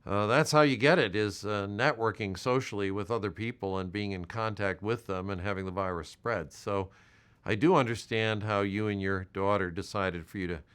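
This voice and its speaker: Gentle, clear-voiced older American man. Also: lowish voice. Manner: gentle, halting, reassuring. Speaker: health department volunteer caller. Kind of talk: supportive check-in phone call.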